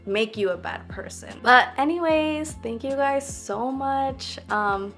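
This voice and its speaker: A woman's voice: sits high, lively intonation, slow, a bit bright, faint music behind. A young woman vlogging to camera in a casual, reflective way, earnest and full of "like" fillers. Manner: earnest, heavy use of "like" fillers.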